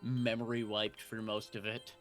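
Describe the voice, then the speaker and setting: A man's voice: fairly low pitch, level tone, moderate pace. A man chatting casually as a panelist, repeating and rephrasing himself emphatically as he goes. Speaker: panelist on a fan fiction reading show. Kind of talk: casual group chat. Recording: loud music behind the voice. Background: music.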